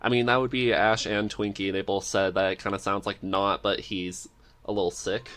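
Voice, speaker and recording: A man with a fairly low voice hosts a relaxed podcast conversation. He talks casually and jokingly, with a giggly streak. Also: moderate pace. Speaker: podcast host. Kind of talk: casual interview chat.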